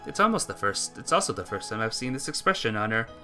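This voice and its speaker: A person with a bright, forward voice narrates a visual novel for a let's-play. The delivery is a scripted read with small slips, and soft guitar music plays faintly behind it. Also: mid-range voice, very animated, fast talker.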